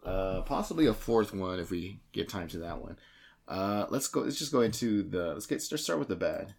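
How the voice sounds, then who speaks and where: A man co-hosting a casual podcast from a home game room, with a slightly raspy voice recovering from being lost, rambling and repeating words in a jokey way. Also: low voice, animated, moderate pace, a little mellow. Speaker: podcast co-host introducing the show.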